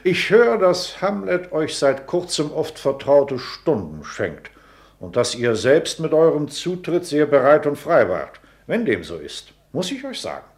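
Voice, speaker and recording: An actor playing a pompous, scolding old courtier and father in a German radio play, speaking sententiously and theatrically. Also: on the high side.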